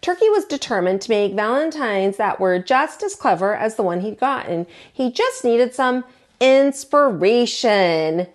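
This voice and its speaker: A woman reading a children's picture book aloud as a storytime teacher, in an expressive, deliberate way. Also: on the high side. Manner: animated, deliberate storytelling delivery.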